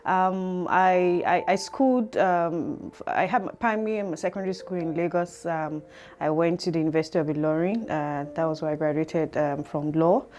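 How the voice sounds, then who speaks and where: Low, mellow Nigerian woman, hesitant. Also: moderate pace. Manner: hesitant, many ums, soft-spoken. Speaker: guest. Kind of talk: telling her life story. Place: studio.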